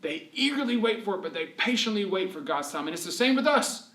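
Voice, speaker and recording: A man leading a read-aloud lesson for children as a storyteller, animated and encouraging, asking questions and answering them himself. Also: high voice, brisk. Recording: roomy echo, distant microphone.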